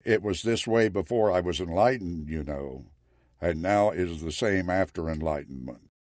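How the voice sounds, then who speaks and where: A man voicing a weary, wise Zen temple master in a story, matter-of-fact and deliberate. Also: low voice, darkish tone, dry recording, studio quality, lightly acted. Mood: weary, candid. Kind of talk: storytelling character quote.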